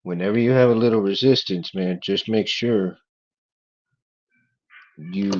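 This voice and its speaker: A man with a monotone voice, chatting casually and quietly as he works on a hobby build. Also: lowish voice, unhurried, lo-fi sound. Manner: laid-back, talks quietly while working. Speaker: hobby craft vlogger. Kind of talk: casual working chat while building.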